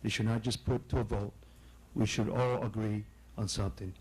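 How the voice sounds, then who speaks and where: A man's voice: on the low side, steady tone, moderate pace, husky, poor audio. A man giving firm public comment as a longtime resident, urging officials to listen.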